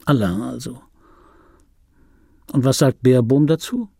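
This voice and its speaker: A self-important dubbing actor narrates his life in an intimate radio play monologue. His voice is husky and mellow, recorded close and dry. He phrases things pointedly, with dry irony. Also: medium pitch, a little slow, no room sound. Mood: dry, ironic. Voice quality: gravelly, husky.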